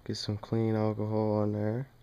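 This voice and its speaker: A man giving a casual hands-on tutorial at home, talking plainly and haltingly as he works. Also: on the low side, completely flat delivery, mellow, clear, resonant tone, highs cut off. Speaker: demonstrator in a home how-to video. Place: small dim room indoors at home.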